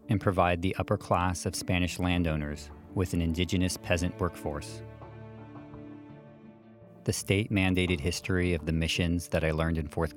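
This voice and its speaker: A man with a very deep voice reads documentary narration from a prepared script. His delivery is measured and even, and faint music plays softly underneath.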